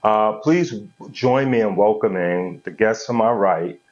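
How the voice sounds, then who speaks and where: Laid-back low-voiced man hosting. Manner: laid-back, slow, encouraging with frequent "right". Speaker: podcast host. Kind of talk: casual interview. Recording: dull lo-fi audio.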